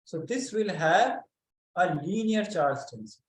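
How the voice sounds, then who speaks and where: A man teaching a physics class online, speaking haltingly and calling on students by name to check that they follow. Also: high voice, lively intonation, poor audio.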